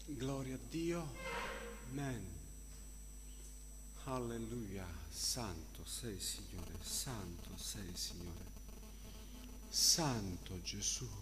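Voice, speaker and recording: A man's voice: on the low side, expressive, drawn-out words, long pauses, background noise. An older man leading church worship as pastor, speaking in short, devout exclamations of praise and prayer. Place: church hall, at a pulpit. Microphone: gooseneck pulpit microphone. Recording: echoey church hall, congregation murmuring. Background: congregation voices.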